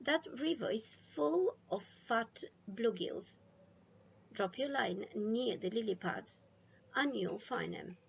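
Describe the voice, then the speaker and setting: A woman voicing a brisk, wry, folksy older grandmother in a storybook. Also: fairly high pitch, expressive, vocal fry, highs cut off, slight background noise, exaggerated voice. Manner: brisk, bossy, folksy commands. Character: brisk, wry country grandma, self-styled river rat.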